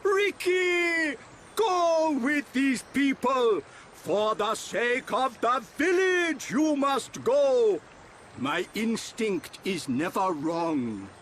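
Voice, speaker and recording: An elderly furry village chief from a video game, with a high voice that swoops grandly and very slow, deliberate delivery. He is pompous yet kindly, proclaiming formally. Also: animated, a bit bright, a little hiss or hum, exaggerated voice. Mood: grandiose, commanding. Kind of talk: theatrical video game acting.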